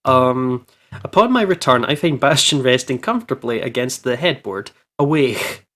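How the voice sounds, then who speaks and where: A man's voice: medium pitch, lively intonation, moderate pace, bright, forceful tone. A man hosting a let's play, casually commenting and reading visual novel text aloud in an informal, jokey way. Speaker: video game let's play host.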